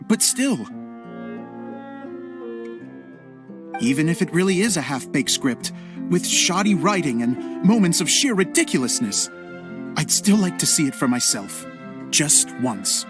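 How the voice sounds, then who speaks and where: Animated, earnest young theater director. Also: male voice, fairly high pitch, moderate pace, over music. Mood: earnest, grateful. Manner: earnest, slightly hesitant, expressive. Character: Zosimos, earnest, humble, flustered young male theater director. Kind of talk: animated video game acting.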